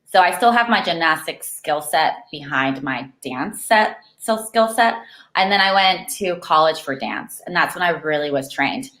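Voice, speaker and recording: A woman's voice: sits low, animated, normal speed, a bit bright. A young woman from Argentina, raised in California, chatting as a guest and filling her talk with "like.". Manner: chatty, lots of "like" fillers, laughs easily. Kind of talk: casual chat. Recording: echoey room.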